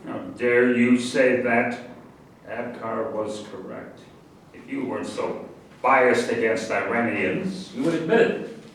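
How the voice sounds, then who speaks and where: A man's voice: takes it slowly, close to an everyday voice. A man acting a pompous lecturing general, echoey and distant. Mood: indignant. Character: pompous, indignant male general lecturing pseudo-scientific nonsense. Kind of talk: staged play reading. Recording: distant echoey room with faint rumble.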